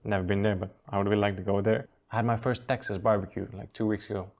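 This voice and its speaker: A man's voice: lowish voice, flat delivery, fast talker, a little mellow. A man hosting a casual review show, easygoing and chuckling often as he trails off and restarts sentences. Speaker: aquascaping channel host.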